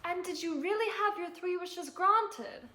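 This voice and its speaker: A woman's voice: very high voice, unhurried, bright, forceful tone, heavily acted. A young woman in an amateur school play acting a practical, teasing middle-aged wife and mother. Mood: curious. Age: young adult. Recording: echoey room, faint noise.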